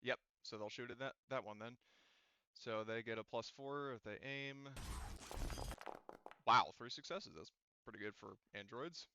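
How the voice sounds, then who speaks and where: A man's voice: medium pitch, a little slow, long pauses, sharp tone, smooth, dry recording, lo-fi sound. A man running a tabletop roleplaying game as game master, casually thinking aloud as he works through the dice results.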